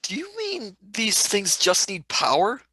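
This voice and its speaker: Husky, animated, sceptical sci-fi sidekick played by a woman. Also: mid-range voice, a little slow, no echo, studio quality, exaggerated voice. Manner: exclamatory, plain-spoken British slang. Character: blunt, excitable, sceptical young companion in sci-fi serial.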